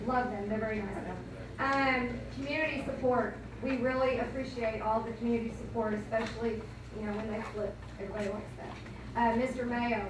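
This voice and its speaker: A hoarse woman coach rambles through thank-yous, correcting herself as she goes. Her slightly high voice is picked up from far off in a very echoey hall with steady background noise. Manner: rambling, self-correcting, chatty. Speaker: cheer coach. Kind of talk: banquet thank-you speech. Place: school banquet room, indoors.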